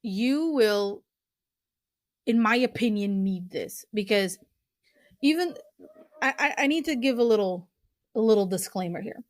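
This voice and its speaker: A young woman from Portugal hosts her podcast. She talks slowly and earnestly, pausing on ums and restarting phrases. Her voice sits at a middling pitch. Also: expressive, dry recording, studio quality. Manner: slow, earnest, with fillers and restarts. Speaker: podcast host. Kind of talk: casual podcast talk recommending books.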